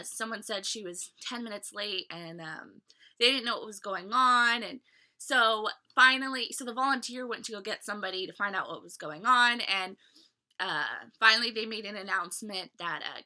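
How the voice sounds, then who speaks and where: A young woman in her twenties talks into her webcam from a small bedroom. Her voice is very bright and sharp, though a little stuffy from a cold. She rambles in a chatty way, full of fillers and restarts. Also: mid-range voice. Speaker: vlogger reviewing a fan convention. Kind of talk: casual talk to camera.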